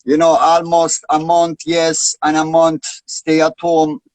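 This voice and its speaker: A man's voice: a little high, completely flat delivery, unhurried, no echo. A man from Italy, asking questions in a casual live video chat in English, with Jamaican patois phrases mixed in. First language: Italian. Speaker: guest interviewer.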